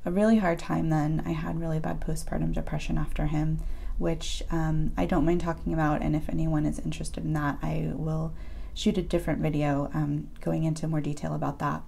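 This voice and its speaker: A woman's voice: sits low, flat delivery, brisk, vocal fry, dark tone, husky. A woman in her thirties talking candidly and personally in a casual Q&A vlog, with hesitant fillers. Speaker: beauty vlogger.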